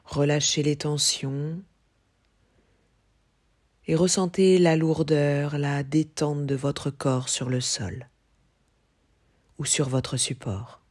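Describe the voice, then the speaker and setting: Slow, husky woman guiding meditation. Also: sits low. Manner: calm, measured, instructional with long pauses. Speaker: yoga teacher. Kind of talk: guided yoga nidra meditation.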